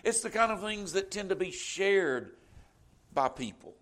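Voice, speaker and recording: Male pastor, Southern US accent, middle-aged, slightly higher voice, folksy conversational sermon delivery. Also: lively intonation, a little slow, a bit bright. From Florida, US. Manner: conversational preaching with frequent "you know".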